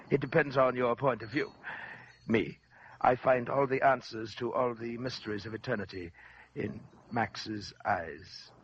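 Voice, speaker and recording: An actor playing a silky, sinister collector. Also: male voice, medium pitch, unhurried, fairly bright tone, slight background noise, lightly acted. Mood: silky, mocking. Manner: florid, mocking formal speech. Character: sinister suave fat collector, man, middle-aged. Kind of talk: 1940s radio acting. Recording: lo-fi old radio recording.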